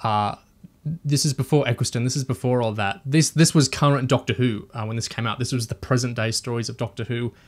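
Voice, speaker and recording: A man's voice: medium pitch, steady tone, a little fast. A man hosting a podcast, talking through a review discussion with enthusiasm.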